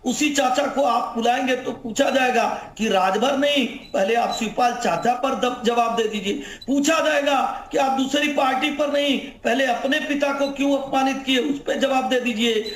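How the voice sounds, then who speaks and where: Very high-pitched man, roomy sound. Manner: accusatory, repetitive oratory. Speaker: political spokesman, debate panelist. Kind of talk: heated television debate. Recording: roomy sound with faint noise.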